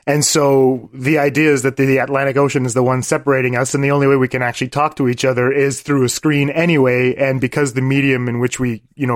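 A man's voice: medium pitch, no echo, clean studio sound. A man from Canada with a Quebec English accent, chatting as a podcast guest over a call and laughing often. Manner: laughs a lot, self-deprecating. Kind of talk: casual chat over a call. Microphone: video call.

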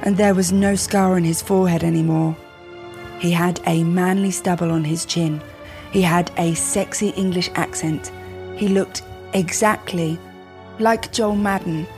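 A woman performs a lovestruck teenage goth vampire girl reading her own fan fiction. The voice is fairly low and full of creak. She is overwrought and theatrical, self-pitying and vain, with music behind her. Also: steady tone, unhurried, a little mellow. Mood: breathless, earnest.

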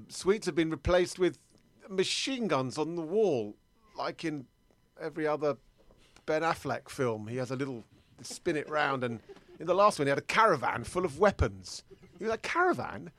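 A man's voice: on the high side, lively intonation, normal speed, fairly bright tone, dry recording. A man playing an anxious middle-aged British sweet-shop customer in improvised comedy, rambling, hesitant and stammering as he doubts himself. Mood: alarmed, rambling. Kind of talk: improvised comedy monologue and sketch.

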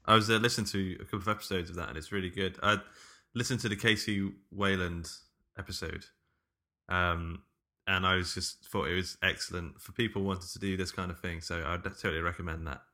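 A man with a slightly low, bright and forward voice. He co-hosts a podcast interview, talking casually and informally, as if chatting with friends. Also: fairly even intonation, a little fast. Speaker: podcast co-host.

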